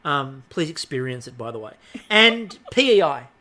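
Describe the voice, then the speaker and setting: A man's voice: fairly high pitch, very animated, sharp tone. A man co-hosting a podcast, casually and jokingly recapping a baking show and steering the talk along. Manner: brisk, jokey, steering the episode along. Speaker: podcast co-host.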